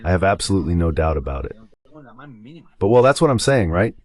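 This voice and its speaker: A man's voice: low voice, big pitch swings, a little fast, a little mellow. A man voicing a political guest's commentary in translation.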